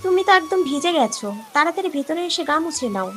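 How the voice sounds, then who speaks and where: A woman voicing a worried, sharp-tongued but caring village wife and mother in a cartoon story. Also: sits high, animated, quick pace, slight background noise. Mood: concerned. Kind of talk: dramatized cartoon story acting.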